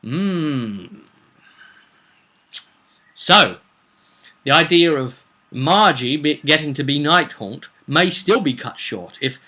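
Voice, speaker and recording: A man with a bright, forceful voice that sits a little high tells the story of his tabletop game as its game master. He is chatty and informal, rambling along like a vlogger and often tossing rhetorical questions to the listener. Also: animated, slow pace, pauses often. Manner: chatty, slips into acted quotes of characters. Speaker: game master recounting a tabletop roleplay session. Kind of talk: casual rambling vlog storytelling.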